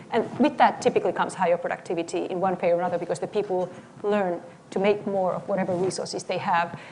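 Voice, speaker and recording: A woman from Finland with a Finnish accent, speaking as a panelist in a thoughtful, lively way. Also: lowish voice, slight background noise. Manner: thoughtful, lively, quick to agree. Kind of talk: panel discussion. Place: event hall before an audience.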